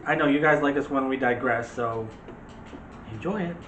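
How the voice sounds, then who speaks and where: A man's voice: mid-range voice, normal speed, fairly bright tone. A man co-hosting a movie discussion, chatting casually in a jokey, digressive way. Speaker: co-host of a movie discussion show. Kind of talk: casual chat. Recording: roomy echo, faint background noise.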